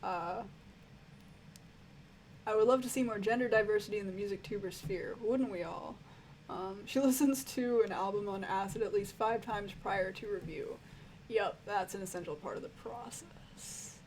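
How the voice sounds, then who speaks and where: A woman with a croaky voice, a music reviewer answering viewer questions in a rambling, casual way full of fillers. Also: mid-range voice, normal speed, a little mellow, a little hiss or hum, low-quality recording. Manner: rambling, filler-heavy, frank and swearing. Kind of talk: casual Q&A chat.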